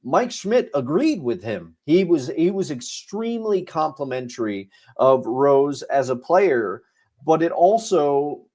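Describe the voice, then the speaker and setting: A man's voice: on the high side, sing-song, measured pace, warm tone. A young man co-hosting a podcast, talking in an easygoing, emphatic way and laughing along. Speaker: podcast co-host. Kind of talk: casual podcast chat and review.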